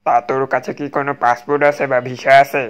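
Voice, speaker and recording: A man playing a rough, impatient army soldier in a comic cartoon, barking and cursing. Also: medium pitch, flat delivery, fast, lightly acted. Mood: interrogating.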